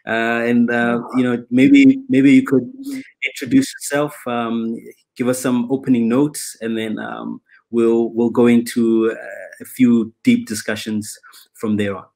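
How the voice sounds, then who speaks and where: A man moderating an online panel over a video call, measured and hesitant with many ums. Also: mid-range voice, level tone, darkish tone, low-quality recording. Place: indoors, plain room, video call. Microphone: webcam on video call.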